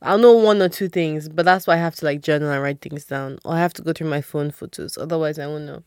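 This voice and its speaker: A woman's voice: sits low, steady tone, fast talker, a little mellow, clear, resonant tone, dry recording, studio quality. A woman in her twenties co-hosting a podcast, chatting in an easygoing way with lots of fillers. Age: 20s. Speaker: podcast co-host. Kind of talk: casual chat.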